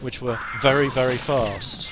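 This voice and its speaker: A man, a game developer, chatting hesitantly on a live stream while playing, somewhat hoarse as he loses his voice. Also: mid-range voice, fairly even intonation, measured pace, background noise. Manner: hesitant, many ums and restarts. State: losing his voice, coughing. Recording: music playing behind.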